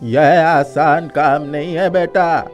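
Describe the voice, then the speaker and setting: Casting: man as elderly cave-dwelling sage, kindly, measured, guiding, slightly high pitch, animated fairy tale. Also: soft background music. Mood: calm advising. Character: kindly old mountain hermit, advising a young prince. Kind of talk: cartoon fairy-tale voice acting.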